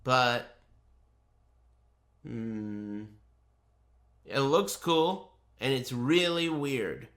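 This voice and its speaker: A man's voice: mid-range voice, expressive, drawn-out words, pauses often, noisy background. A man streaming a video game live, giving casual, offhand commentary with a sardonic, profane edge.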